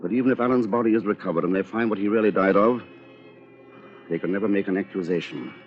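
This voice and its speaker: An actor playing a cold, conniving attorney with a formal, pompous, calculating manner in an old-time radio drama. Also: male voice, fairly low pitch, fast talker, close to an everyday voice. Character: cold, conniving male attorney, cleverly manipulative. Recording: muffled old radio recording, music behind.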